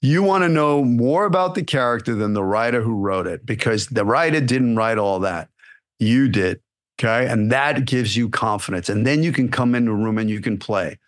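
A man, an acting coach, giving casual solo podcast advice in a blunt, animated way, firing rhetorical questions at the listener. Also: medium pitch, moderate pace. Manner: casual and blunt, with repeated fillers and restarts.

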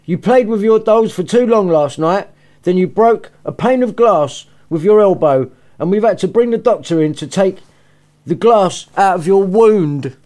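A stern, fed-up mother scolds her child in a raised, lively voice, as a man puts her on. She lectures sharply, with lots of lift and fall in her tone. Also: sits high, measured pace. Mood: scolding. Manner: lecturing, indignant.

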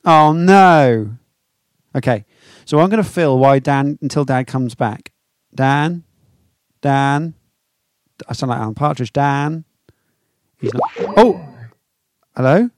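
A man's voice: mid-range voice, slow pace, long pauses, no echo. A man with an English accent co-hosting a football podcast in casual, joking chat, with a dry, teasing, sweary manner.

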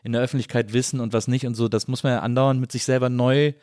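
A man's voice: medium pitch, flat delivery, quick pace, a little mellow, dry recording, studio quality. A man hosting a casual podcast interview, talking in a reflective, somewhat tentative way. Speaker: podcast host.

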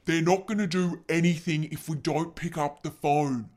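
A man's voice: on the high side, steady tone, normal speed, darkish tone, natural voice. A man playing a blunt, dismissive male criminal.

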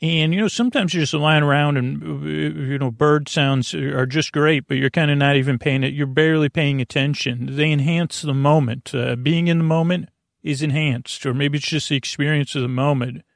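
A man hosting a bedtime podcast, talking through a long, meandering, unhurried intro meant to ease listeners toward sleep. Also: on the high side, fairly even intonation, gravelly, no echo, studio quality. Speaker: podcast host.

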